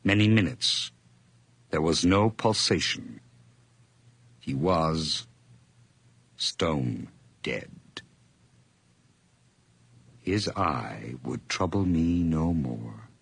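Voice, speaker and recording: An obsessive murderer narrating a radio play in a very deep, gravelly voice. His pitch swings widely as he moves between whispers and feverish outbursts. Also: expressive, extremely slow, long pauses, fairly bright tone, exaggerated voice. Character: nervous, obsessive man confessing a murder.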